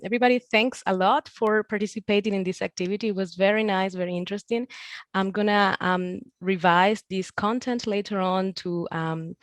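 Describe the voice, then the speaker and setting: A woman's voice: fairly low pitch, dry recording. Woman facilitating an online group meeting. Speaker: host of an online meetup.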